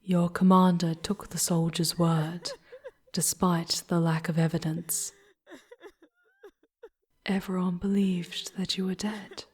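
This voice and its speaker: Woman doing a soft demon lady for asmr roleplay, super whispery, really slow with long dramatic pauses, sad and tender. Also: fairly low pitch, steady tone. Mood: grave, sorrowful. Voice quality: breathy, whispery. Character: charming female demon guide, tender and sorrowful.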